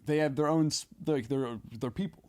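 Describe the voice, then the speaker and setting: A man's voice: a little high, a little fast, a little mellow, smooth. Rambling man in casual podcast chat. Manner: hesitant, rambling, hedges and backtracks.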